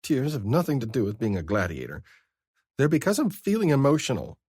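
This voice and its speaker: A male narrator voicing a sad, sharp-tongued young woman who answers with tearful but wry retorts. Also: medium pitch, wildly swooping pitch, brisk, breathy edge, no echo, clean studio sound, voice pushed up. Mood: tart.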